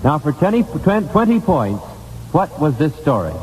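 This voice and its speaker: A man's voice: a little high, very animated, normal speed, a little mellow, long reverb tail, slight background noise, rough recording. An American man hosting a 1950s radio quiz show, putting questions to contestants on an old broadcast recording.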